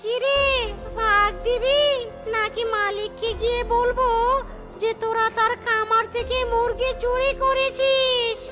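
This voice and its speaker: Cartoon risen corpse, very high childlike pitch, slow teasing drawl, mischievous, over loud music. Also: steady tone. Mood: taunting. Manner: taunting, giggling, drawn-out delivery. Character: mischievous talking corpse risen from the grave, cheeky prankster. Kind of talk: exaggerated children's cartoon acting.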